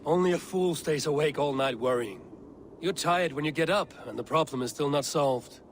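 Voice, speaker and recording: An actor playing a guarded, blunt Viking raider, speaking firmly and tersely in a game cutscene. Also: male voice, on the high side, moderate pace, slight background noise, natural voice. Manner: firm, terse, plain-spoken. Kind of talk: video game cutscene acting.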